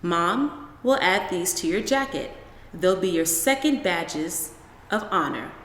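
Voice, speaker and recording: Woman as calm, proud storybook dad, slow, echoey. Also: on the low side. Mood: cheerful. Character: calm, proud, good-humoured father. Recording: roomy echo with long reverb.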